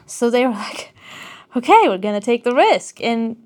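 A woman from Armenia, a guest singer talking casually in a radio studio, hesitant with many pauses and ums. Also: a little high, expressive, darkish tone. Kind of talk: casual interview.

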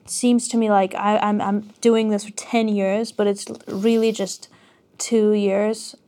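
A woman's voice: medium pitch, flat delivery, dark tone. A woman, a singer who is a guest in a radio studio. She speaks in a mid-range voice and chats casually, hesitant and full of ums. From Armenia. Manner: hesitant, many pauses and ums. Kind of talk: casual interview.

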